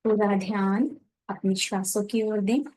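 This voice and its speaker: A woman leading a guided meditation, giving gentle instructions in short phrases with pauses. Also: medium pitch, steady tone, low-quality recording.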